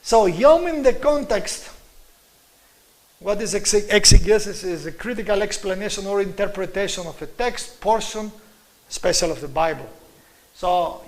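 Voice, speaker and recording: High-pitched Greek-accented male Bible lecturer. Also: rough recording. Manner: emphatic, repeats key words, halting English. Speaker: Bible teacher giving a presentation. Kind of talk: religious lecture on scripture.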